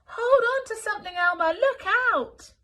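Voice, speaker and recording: Trickster elephant cousin, read by a woman, picture-book style, high-pitched and full of exclaimed calls. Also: animated, normal speed, a bit bright. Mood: urgent calling. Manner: calling out, exclaiming. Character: Wilbur, playful trickster male elephant cousin.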